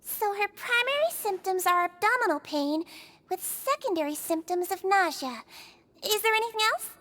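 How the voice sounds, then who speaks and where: A woman's voice: extremely high pitch, animated, moderate pace, sharp tone, heavily acted. A woman voicing a small, childlike nurse in a video game, sweet, sincere and caring, with polite, careful instruction. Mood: polite, attentive.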